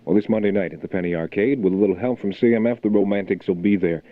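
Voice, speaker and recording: A man's voice: fairly low pitch, quick pace. A man hosting a morning rock radio show, talking in a laid-back, conversational DJ style through announcements. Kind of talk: album rock radio DJ patter with local announcements. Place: radio studio. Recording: muffled phone-like 1980 radio aircheck, music behind. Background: music.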